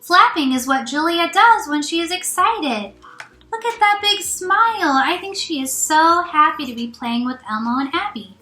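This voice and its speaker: A woman reading a storybook aloud as a teacher would, expressive and child-directed, with encouraging asides. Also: very high voice, moderate pace. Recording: roomy echo, faint music.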